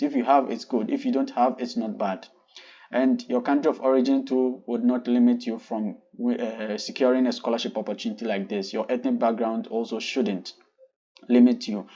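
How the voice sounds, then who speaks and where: A man's voice: mid-range voice, mellow, highs cut off. Young Ghanaian man, vlog host; polite and earnest, explains details patiently. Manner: polite, earnest, walking viewers through details step by step. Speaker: channel host.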